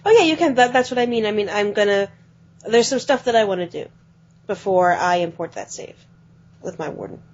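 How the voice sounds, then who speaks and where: A woman co-hosting a podcast, chatting quickly about video games and often letting her phrases trail off. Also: medium pitch, expressive. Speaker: podcast co-host. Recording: dull lo-fi podcast audio.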